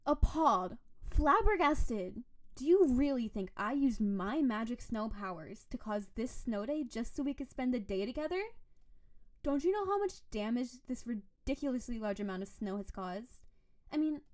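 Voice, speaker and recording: Woman acting a high-voiced, clingy snow-spirit wife. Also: expressive, normal speed, no echo, lightly acted. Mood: mock indignation. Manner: coaxing, theatrical, doting. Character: playful, clingy yandere snow-spirit wife, affectionate and possessive. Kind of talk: scripted audio roleplay addressed to the listener.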